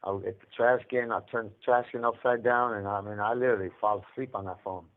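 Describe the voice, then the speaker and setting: A man's voice: steady tone, fast. A man telling his story in casual, rambling street talk with slang and cursing, as an inmate on a phone call. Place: jail or prison phone line. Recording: muffled low-fi collect phone call.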